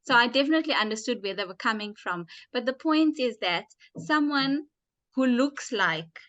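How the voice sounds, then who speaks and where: A woman doctor and author as a guest, explaining her views in a measured, thoughtful way. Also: on the high side, lively intonation, a bit bright, dry recording, highs cut off. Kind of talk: explanatory interview answers.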